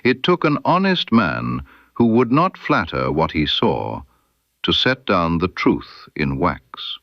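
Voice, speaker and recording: A man narrating a period mystery story in the first person, in a measured, literary way. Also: low-pitched, no echo, dull audio.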